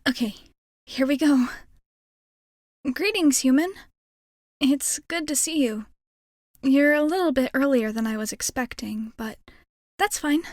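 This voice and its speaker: Woman acting a young female alien, high-pitched, shy, hopeful, nervous rambling, ASMR roleplay, very dry sound. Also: expressive, measured pace, darkish tone, dry recording. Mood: flustered, welcoming. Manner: hesitant, rambling, self-effacing. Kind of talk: ASMR roleplay, intimate character monologue.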